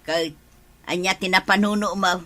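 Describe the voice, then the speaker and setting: A woman's voice: mid-range voice, steady tone, normal speed, pushed and bright, natural voice. A woman playing a long-suffering, hardworking wife, exasperated and pleading, in an Ilocano comedy radio drama, with an Ilocano accent.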